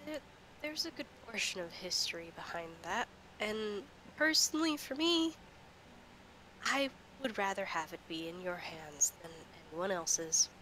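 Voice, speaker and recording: A woman acting as game master on a tabletop stream, improvising character dialogue and narration in halting, drawn-out phrases. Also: medium pitch, animated, sharp tone, a little hiss or hum, poor audio.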